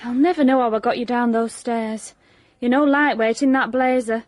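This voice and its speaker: A woman plays a cheeky Yorkshire sidekick in a radio comedy drama. Her voice sits high, and she needles with brisk, deadpan, no-nonsense wit. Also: close to an everyday voice. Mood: teasing. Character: cheeky, no-nonsense Yorkshire woman, detective's sharp-tongued assistant. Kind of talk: comic radio drama acting.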